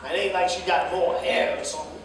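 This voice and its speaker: An actor playing an opinionated, bantering cab driver in a theatrical staged reading. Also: male voice, sits high, fairly quick, close to an everyday voice. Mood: insistent banter. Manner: animated, insistent, bantering. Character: opinionated, argumentative jitney driver man. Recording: echoey noisy hall, distant microphone.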